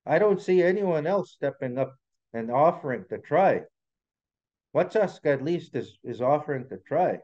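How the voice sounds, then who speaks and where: A man's voice: fairly high pitch, animated, normal speed, a little mellow, muffled recording. A man voicing a protective trickster leader, firm and urgent as he commands his animal brothers and sisters.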